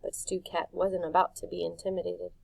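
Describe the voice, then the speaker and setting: Audiobook reader, woman, calm measured narration, lower pitch, dry close sound. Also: creaky, dry recording, low-quality recording. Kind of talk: storybook narration.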